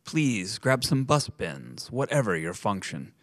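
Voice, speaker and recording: A man voicing a gentle, mild-mannered grown son in a rhyming story, speaking quietly and slowly. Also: on the low side, big pitch swings, dry recording, studio quality. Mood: calmly instructing. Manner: gentle, unhurried, soft.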